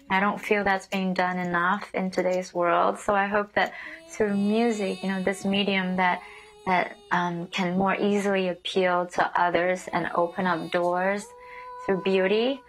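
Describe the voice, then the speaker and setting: A woman speaks earnestly and reflectively, her pitch staying flat and level throughout. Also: low-quality recording. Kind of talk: interview.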